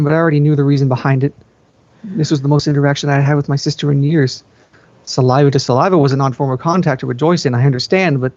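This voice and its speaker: A man's voice: on the high side, darkish tone, dry recording, highs cut off. A man reading fan fiction aloud as a first-person narrator.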